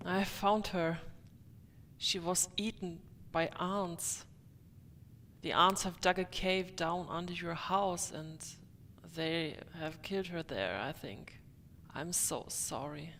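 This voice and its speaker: A woman's voice: lowish voice, takes it slowly, fairly bright tone, a little hiss or hum. A woman voicing a somber, apologetic adventurer in a video game, subdued and bearing bad news. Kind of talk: video game character dialogue.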